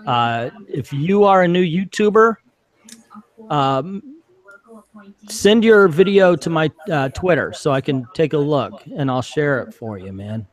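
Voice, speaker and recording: A man with a country accent mixed with Chicago, rambling with drawn-out vowels and lots of fillers, as a guest on an online chat over a remote call mic. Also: on the high side, animated. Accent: American country, mixed with Chicago. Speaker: guest and fellow streamer. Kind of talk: casual online discussion.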